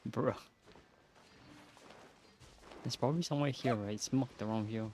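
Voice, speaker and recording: A man's voice: medium pitch, moderate pace, a little mellow, a little hiss or hum. Casual man streaming a game. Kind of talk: casual gameplay commentary. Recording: game music behind the voice.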